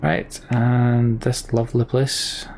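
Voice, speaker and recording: A man with a creaky voice giving commentary as the host of a video game playthrough. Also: mid-range voice, flat delivery, slow, darkish tone, a little hiss or hum. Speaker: let's play host.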